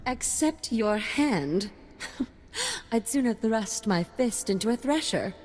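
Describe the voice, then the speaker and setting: A woman's voice: a little high, lively intonation, slow pace, darkish tone, long reverb tail, soft background music. An actress playing a haughty, scornful young noblewoman in a video game. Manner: imperious, disdainful.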